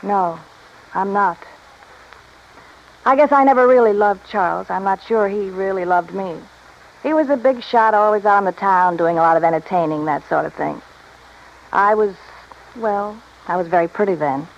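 A woman's voice: medium pitch, normal speed, pauses often, lightly acted. A woman acting a discontented, self-pitying wife in an old radio drama, speaking in a hesitant, confessional manner. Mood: confessional, bitter. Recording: dull, faintly noisy old radio recording.

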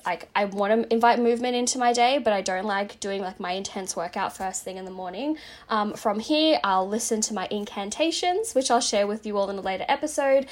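A woman hosting a casual self-help podcast, chatty and rambling with lots of fillers, saying she is slightly losing her voice. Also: mid-range voice. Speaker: podcast host.

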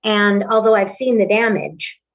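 An older woman in her sixties talks in a measured, articulate way about her teaching. The recording sounds dull and lo-fi. She is a little away from the mic. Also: medium pitch, distant mic. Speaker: voice and acting teacher. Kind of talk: casual interview conversation. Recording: dull lo-fi audio, slightly off-mic.

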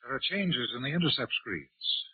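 A man's voice: medium pitch, sing-song, moderate pace, slightly rough, no echo. An actor playing an anxious, dutiful alien junior officer reporting uneasily to his superior in a 1950s radio drama. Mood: uneasy, alert. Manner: uneasy, deferential military reporting. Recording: tinny, narrow-band 1950s radio recording.

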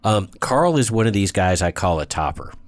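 A man host with a lowish voice teases his guest with dry humor. The recording is dry and close, with no room sound. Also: very animated, normal speed, dry recording. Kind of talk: casual chat.

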